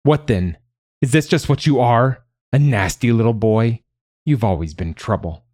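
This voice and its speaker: A man's voice: lowish voice, animated, normal speed, no room sound. A man voicing a cruel, scornful mother who berates her child in a harsh, hissing way in an audiobook. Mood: scornful anger. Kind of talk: dramatised audiobook narration.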